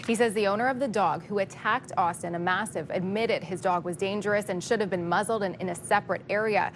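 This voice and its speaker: A woman reporter narrates a news story. Her pitch sits in the middle range, and the delivery is scripted and informative. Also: brisk, slight background noise.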